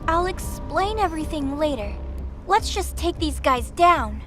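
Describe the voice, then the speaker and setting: Young female archangel agent voiced by a woman: very high voice, earnest, dutiful, formal audio drama delivery. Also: expressive, unhurried, soft background music. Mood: confident. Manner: earnest, formal, rattles off explanations.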